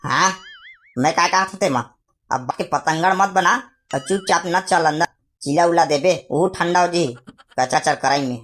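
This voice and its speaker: A man's voice: a little high, normal speed, a bit bright, close to an everyday voice. A man playing a grumbling, nagging village father in a cartoon comedy, speaking with a Chhattisgarhi accent and making threats. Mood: bickering. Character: grumbling, scolding village father of two boys.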